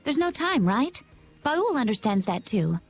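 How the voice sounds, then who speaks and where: A woman's voice: a little high, sing-song, unhurried, slightly rough, soft background music, exaggerated voice. A woman playing a composed, wry, decisive young woman in an English anime game dub.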